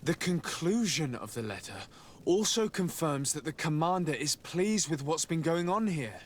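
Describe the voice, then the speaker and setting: A man playing a calm, diplomatic adventurer in a video game cutscene, with a measured, polite, earnest delivery. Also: on the high side, a little hiss or hum, natural voice. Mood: troubled. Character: calm, diplomatic male adventurer investigating abuses.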